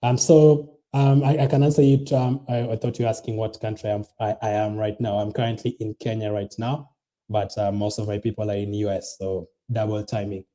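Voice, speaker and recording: Young guy rambling thru a late-night football stream, tired, lots of ums, warm mellow tone. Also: fairly low pitch, flat delivery, brisk. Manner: rambling, many ums and restarts. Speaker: fantasy football channel host. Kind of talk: casual livestream chat reading viewer comments.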